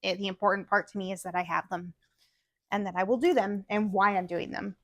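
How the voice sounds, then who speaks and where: A woman's voice: medium pitch, fairly even intonation, a little fast, no room sound. A woman talking casually and at length, her thoughts looping through fillers and self-corrections. Her voice is slightly worn, as if she is losing it. The sound is dry and close, with no room around it. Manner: rambling, many fillers and restarts. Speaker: podcast co-host. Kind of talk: casual chat about cross-stitch projects.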